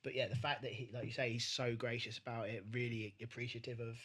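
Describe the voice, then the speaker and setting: A man's voice: mid-range voice, level tone, quick pace, a bit bright, slightly rough. A man co-hosting a podcast in casual chat, sweary and emphatic, repeating himself for emphasis. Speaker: podcast co-host.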